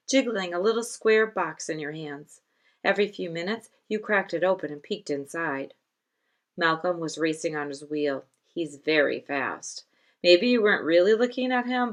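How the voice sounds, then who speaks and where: A woman reading her own children's novel aloud as expressive scripted storytelling. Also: lowish voice.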